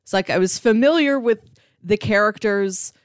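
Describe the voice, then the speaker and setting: A woman's voice: mid-range voice, moderate pace, dry recording, clean studio sound. A woman co-hosting a podcast, talking in a casual conversational style. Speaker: podcast co-host. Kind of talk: casual chat about TV sitcoms.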